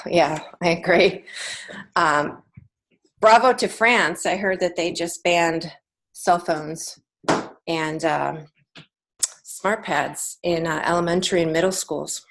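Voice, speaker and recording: A woman with a fairly low voice talks as a guest in a podcast interview. She is earnest and reflective, putting emphasis on her points in a conversational way. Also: fairly even intonation, takes it slowly, long pauses, fairly bright tone, slight background noise. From United States. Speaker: podcast guest, children's author and creative writing teacher.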